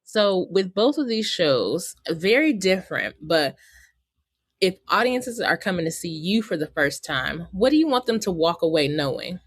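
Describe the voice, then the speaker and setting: A woman's voice: lowish voice, very animated, normal speed, no echo. American woman hosting, close dry sound. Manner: chatty, informal, addresses listeners directly. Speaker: podcast host. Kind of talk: casual podcast hosting and interviewing.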